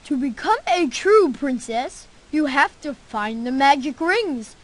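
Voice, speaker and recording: An actress playing a small, earnest magical helper in a children's fairy-tale cartoon game, speaking in a deliberate, instructive way. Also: female voice, high-pitched, animated, heavy background noise. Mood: earnest, solemn.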